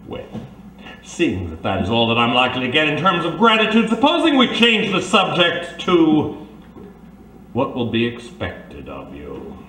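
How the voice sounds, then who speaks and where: A man's voice: fairly high pitch, huge pitch swings, a little slow, pushed and bright, full character voice. A man playing a suave, condescending crime boss in an old-time radio drama, with pompous, genteel, formal phrasing. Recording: roomy, slightly distant, faint background noise.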